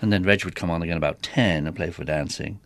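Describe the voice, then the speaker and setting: A man's voice: sits low, fast talker, no room sound. An older man from northern England, chatting in anecdotes as he reminisces as an interview guest. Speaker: interview guest, theatre organist.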